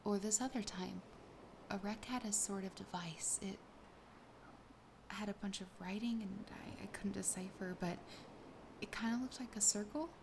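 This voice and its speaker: A woman voicing a wistful, sassy siren in an ASMR roleplay, softly and breathily, often half-whispering at a slow, intimate pace. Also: mid-range voice, background noise, close to an everyday voice. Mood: fascinated, wistful. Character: sassy, possessive, wistful young female siren.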